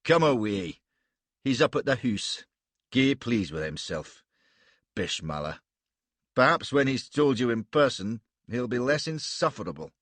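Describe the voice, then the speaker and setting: A man voicing a burly male warrior with a Scottish accent and a gruff, growling manner. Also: medium pitch, sing-song, a little slow, a bit bright, dry recording.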